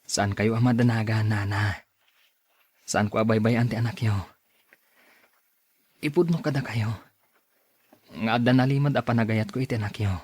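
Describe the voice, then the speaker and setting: Radio drama romantic lead played by a man: courteous, earnest young Ilocano man, slightly husky mid voice, dry sound. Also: fairly low pitch, steady tone, normal speed, pauses often, darkish tone, no room sound. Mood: sincere, gentle.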